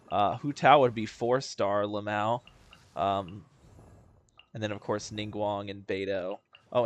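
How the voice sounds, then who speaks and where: A young man casually commenting while playing a video game, rambling and chatty with many restarts. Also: fairly low pitch. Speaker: gaming video host.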